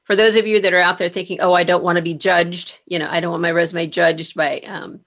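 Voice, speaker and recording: Female interview host, slightly low and gravelly, appreciative and encouraging in a conversational style. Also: level tone, a little fast, fairly bright tone. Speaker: podcast host and career author.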